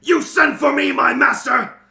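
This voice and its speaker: Man putting on a booming monstrous warrior villain. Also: steady tone, measured pace, vocal fry, heavily acted. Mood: servile, loud. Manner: booming, theatrical shouting. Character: sneering monstrous male champion warrior, menacing servant of evil. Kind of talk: amateur fantasy reader's theater.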